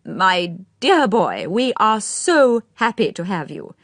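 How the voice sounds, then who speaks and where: Woman doing a high fluttery old posh lady, eager, no room sound. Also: big pitch swings, takes it slowly, no room sound, clean studio sound. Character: elderly gracious aristocratic lady, eager and fluttery.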